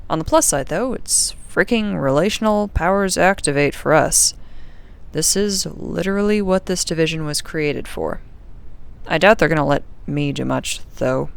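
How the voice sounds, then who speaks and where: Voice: mid-range voice, creaky, clean tone. An actor playing a sardonic, uneasy young crew member recording an audio diary in a casual, rambling, sarcastic way. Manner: wry, sarcastic, informal, rambling. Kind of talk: audio drama diary monologue, casual and conversational.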